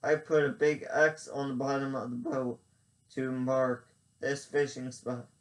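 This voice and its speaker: A man voicing a friendly, helpful Cajun fisherman, a little higher and louder than his own voice. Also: flat delivery, a little slow, lightly acted. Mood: concerned.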